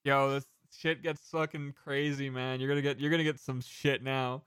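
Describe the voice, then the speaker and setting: A man's voice: medium pitch, flat delivery, moderate pace, fairly bright tone, smooth. A man co-hosting a podcast, chatting casually about movies, joking and swearing freely. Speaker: podcast co-host.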